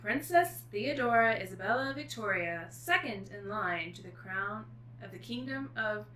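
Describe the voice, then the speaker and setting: A woman author speaking as a guest, with an expressive, informal delivery for reading aloud and chatting. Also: medium pitch, normal speed. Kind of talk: expressive book reading and casual chat. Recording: echoey room with faint noise.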